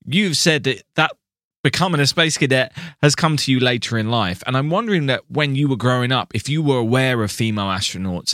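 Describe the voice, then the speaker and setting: A man hosting a podcast, asking a guest questions in a measured way with some fillers. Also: medium pitch, a bit bright, dry recording, clean studio sound. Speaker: podcast host. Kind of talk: interview questions.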